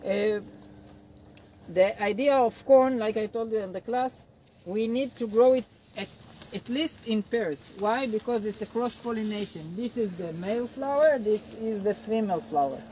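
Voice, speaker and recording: A man's voice: high voice, normal speed, a little mellow, slight background noise, low-quality recording. Man explaining crops outdoors. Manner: explains patiently in non-native English. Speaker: agronomist guiding a farm tour. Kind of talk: outdoor field lecture. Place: outdoors by a cornfield.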